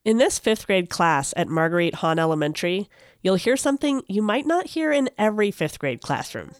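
A woman's voice: mid-range voice, big pitch swings, fairly quick. An American woman hosting a podcast, moving between scripted narration and interview questions. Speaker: podcast host.

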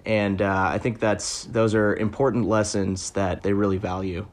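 Low-voiced man explaining conversationally. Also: flat delivery, normal speed. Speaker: education reporter. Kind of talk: conversational interview talk.

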